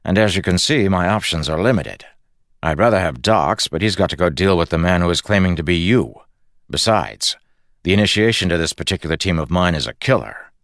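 Audiobook rogue, read by a male narrator: cocky, determined crew boss, brisk and insistent, wry edge, very dry recording. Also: low-pitched, animated, fairly bright tone, dry recording. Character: cocky, determined, irreverent dead man, crew leader.

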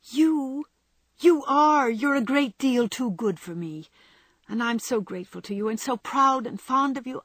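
A woman's voice: on the high side, a bit bright, dry recording, pitched above the performer's own voice. A woman voicing a spirited, remorseful young woman. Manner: earnest, remorseful. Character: spirited young woman, kind but firm.

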